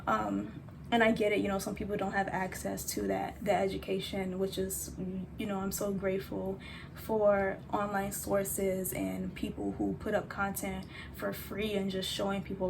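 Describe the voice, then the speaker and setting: A woman vlogger with a soft voice, chatting casually to camera at home with lots of fillers. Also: mid-range voice, creaky. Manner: chatty, rambling, many fillers. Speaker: lifestyle vlogger. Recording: loud fan noise behind. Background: loud fan.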